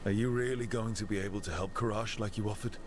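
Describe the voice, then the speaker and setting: A man's voice: on the low side, steady tone, fast, a little hiss or hum, close to an everyday voice. A man playing an easygoing, considerate warrior hero in a video game, talking in a conversational, inquisitive way. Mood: polite, earnest.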